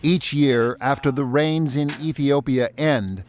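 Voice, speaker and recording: A man reading scripted documentary narration in a measured, even tone. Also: mid-range voice, slight background noise.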